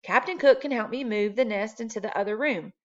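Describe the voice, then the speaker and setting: A woman's voice: on the high side, fairly quick, a bit bright, dull audio, lightly acted. A woman voicing a cheerful, stubbornly optimistic husband in a storybook reading. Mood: reassuring.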